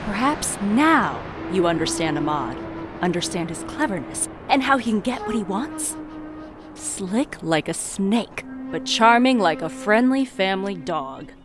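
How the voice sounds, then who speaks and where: A woman playing a wry, knowing storyteller of a dark court tale, arch and theatrical, in an audio play. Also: medium pitch, sing-song, a little slow. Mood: wry, admiring. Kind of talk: dramatic narration in an audio play.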